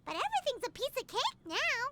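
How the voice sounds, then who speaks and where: Shrill, bossy little game mascot, lively swings, third-person speech. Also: female voice, a little fast, noisy background, full character voice. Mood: chatty. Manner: talks about herself in third person. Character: tiny floating girlish companion, chatty and bossy. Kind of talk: video game dialogue. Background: loud game music.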